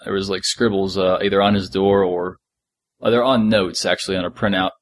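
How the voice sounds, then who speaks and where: A man's voice: deep voice, little pitch movement, brisk, no room sound, studio quality. A man co-hosting a podcast in a casual discussion, explaining things in detail and thinking aloud. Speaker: podcast co-host.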